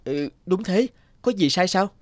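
A man voicing a cool, teasing private detective in a cartoon, measured and matter-of-fact. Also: high voice, animated. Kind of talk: cartoon dialogue.

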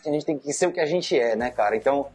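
A man's voice: medium pitch, animated, quick pace. A man in his thirties with a Manaus Brazilian accent, chatting in slangy interview talk through a cloth mask into a lapel mic. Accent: Manaus Brazilian Portuguese. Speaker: interviewee, graffiti artist. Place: indoor room, masked. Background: music.

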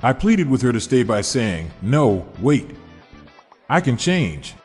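A man hosts a podcast, reading dad jokes one after another in an upbeat, chuckling delivery. His voice sits in a middle pitch and carries a long reverberant tail, as if in a hall. Faint music plays underneath. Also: sing-song, slow pace, darkish tone, husky. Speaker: podcast host.

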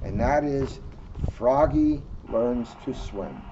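A man's voice: medium pitch, slow pace. A middle-aged man reading a picture book expressively to children, recorded outdoors. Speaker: police officer reading to children. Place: outdoor pavilion bench in a park. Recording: muffled outdoor recording, faint background noise.

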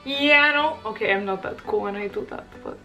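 A woman's voice: medium pitch, fairly quick, sharp tone, faint music behind. A teenage girl from Bulgaria with a Bulgarian accent, chatting to camera in her bedroom, bubbly and giggly with lots of fillers. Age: teens. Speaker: vlogger. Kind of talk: casual chat to camera. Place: small bedroom, indoors. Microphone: camera in front.

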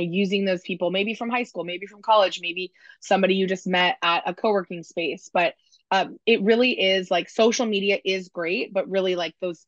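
A woman's voice: lowish voice, no room sound. A woman around forty giving conversational panel advice in an emphatic, encouraging way over a video call. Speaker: panelist, small business owner. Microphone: video call microphone. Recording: dull, compressed video-call audio.

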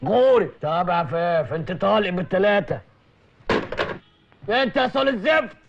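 An actor with an Egyptian Arabic accent playing a nosy, fast-talking doorman who later turns into a gruff policeman in a comedy film. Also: very high-pitched, lively intonation, muffled recording, noisy background. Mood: menacing. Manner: sly, teasing, then menacing and shouting.

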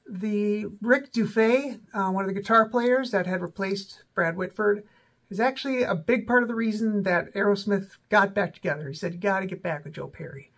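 Raspy man with a cold, chatty. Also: high voice, moderate pace, a bit bright. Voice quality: raspy, voice may crack. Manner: chatty, lots of ums and self-corrections. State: has a cold, slightly sore throat. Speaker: rock music video host. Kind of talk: casual talking-head album rundown. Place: small indoor room with a backdrop.

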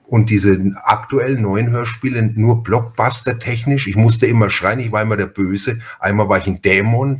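Low-voiced Franconian man, rambling podcast host. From Franconia, Germany. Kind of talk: casual conversational interview.

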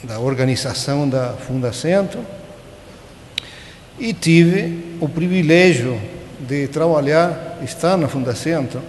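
A man in his sixties from Chile, a native Spanish speaker with a Chilean accent, gives an informal technical lecture in a halting manner, often checking in with tag questions. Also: a little high, expressive, a little mellow. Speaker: conference speaker. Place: large conference auditorium. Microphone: podium gooseneck microphone. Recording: echoey auditorium with clear background noise.

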